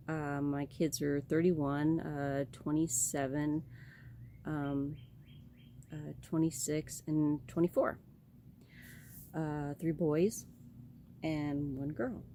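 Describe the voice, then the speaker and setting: A woman's voice: sits low, gravelly, mellow, a little hiss or hum. A woman in her late forties from California, chatting casually to her camera about her life, rambling with many ums and restarts. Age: 40s. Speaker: vlogger. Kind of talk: casual personal chat to camera.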